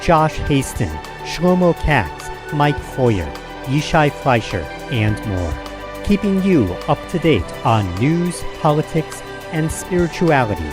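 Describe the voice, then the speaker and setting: A man reading a scripted radio network promo in an upbeat announcer style. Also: on the high side. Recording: promo with clear music bed.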